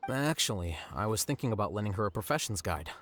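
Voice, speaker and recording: Deadpan low-voiced scholar. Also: male voice, fast talker, soft background music. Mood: dry, composed. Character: composed, blunt, dry-witted male scholar. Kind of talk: video game voice acting.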